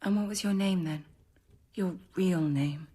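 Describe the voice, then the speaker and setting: An actress playing a bitter, defiant sixteen-year-old noble girl forced to be a novice, sullen and sharp, in a radio drama. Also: lowish voice, unhurried, husky. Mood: guarded, probing.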